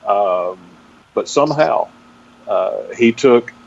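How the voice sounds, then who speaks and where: A middle-aged man with a Texan accent giving a reflective interview as a guest, speaking haltingly and searching for his words. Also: fairly low pitch, lively intonation, gravelly, darkish tone. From Texas, United States. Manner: halting, searching for words with repeated false starts. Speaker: interview guest, pastor and seminary teacher. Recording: dull, band-limited audio with faint noise.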